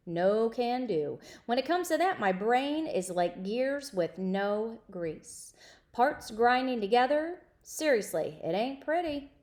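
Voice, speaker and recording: A woman's voice: a little high, a little mellow. A woman voicing a kind, teasing older brother who works as a mechanic, in casual slangy storybook dialogue.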